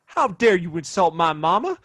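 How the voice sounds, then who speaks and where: High-pitched, hot-headed Wild West bully. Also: male voice, very animated, normal speed, fairly bright tone, heavily acted. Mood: menacing, indignant. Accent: American. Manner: blustering, folksy drawling insults. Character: cocky, hot-tempered Wild West gunslinging bully with a badge. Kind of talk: audio play acting, western.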